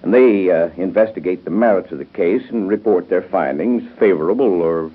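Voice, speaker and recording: A determined, earnest doctor in a vintage radio soap. His American voice is lowish and husky, with little hesitations as he explains. It comes through a tinny, narrow 1930s broadcast. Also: brisk. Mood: explaining, earnest. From United States.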